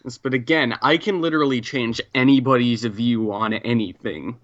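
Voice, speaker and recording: A man with a medium-pitched voice chats casually as a player in a tabletop game. The recording is dull and somewhat lo-fi. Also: normal speed. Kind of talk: casual chat.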